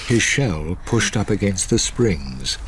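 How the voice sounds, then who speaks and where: An actor playing a wry narrator, delivering scripted storytelling narration in a radio drama. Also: male voice, fairly low pitch, lively intonation, slow pace, noisy background. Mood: descriptive.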